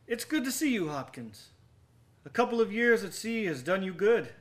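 A man's voice: high voice, sing-song, normal speed. An actor in a radio drama playing a genial, obliging man, eager and polite as he questions his friend. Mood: curious, friendly. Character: genial, obliging male friend of an old sailor.

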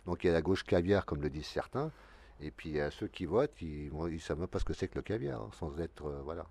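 A man in his fifties speaks quickly and in a very low voice, rambling and restarting his sentences as he debates. He sits at a desk in a dark TV studio, talking into a desk mic. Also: vocal fry. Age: 50s. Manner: rambling, animated, many restarts. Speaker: local election candidate. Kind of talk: televised debate.

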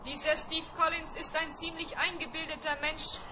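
Woman acting a high, monotone, cocky robot. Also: moderate pace, noisy background, lightly acted. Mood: pedantic, cool. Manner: precise, matter-of-fact, pedantic. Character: cocky, argumentative spaceship robot with a mind of its own. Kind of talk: 1970s children's radio play acting.

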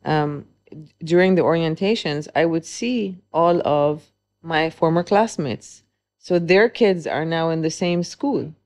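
Woman, forties, low voice, reflective, hesitant restarts, interview guest. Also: measured pace, a little mellow. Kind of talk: conversational interview.

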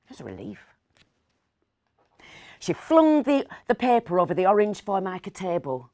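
A woman in her fifties reading a story aloud dramatically, with pauses and hushed asides. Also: medium pitch, big pitch swings, dry recording, clean studio sound. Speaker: actor reading a short story.

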